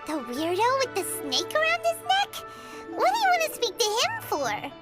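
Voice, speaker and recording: Squeaky, tiny fairy companion. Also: female voice, huge pitch swings, moderate pace, full character voice. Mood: pert, puzzled. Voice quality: squeaky, childlike. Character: tiny childlike floating fairy companion, pert and suspicious. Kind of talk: video game character dialogue. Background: game music.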